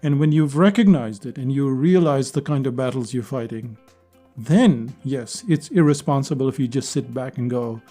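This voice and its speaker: Mellow-voiced man hosting a podcast. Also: medium pitch, moderate pace, faint music behind. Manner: earnest, conversational, repeats phrases for emphasis. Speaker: podcast host. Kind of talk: solo podcast monologue.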